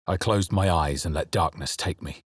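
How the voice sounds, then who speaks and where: A man playing a brooding, watchful adventurer hero in a fantasy video game, with a husky voice and grave, deliberate, theatrical delivery. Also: deep voice, no room sound, clean studio sound. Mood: tense narration. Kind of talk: dramatic game voice lines and first-person narration.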